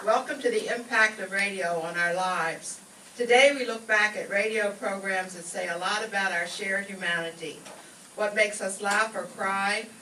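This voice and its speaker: Bright-voiced American woman emcee in echoey room. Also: mid-range voice. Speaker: master of ceremonies. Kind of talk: scripted introduction read to an audience. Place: live event room before an audience. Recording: echoey room, distant mic, faint noise.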